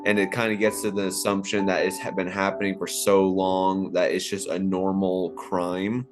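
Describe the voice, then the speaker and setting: Low-voiced male interviewer, halting and casual. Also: level tone. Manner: halting, wordy, full of "like". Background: soft plucked guitar music.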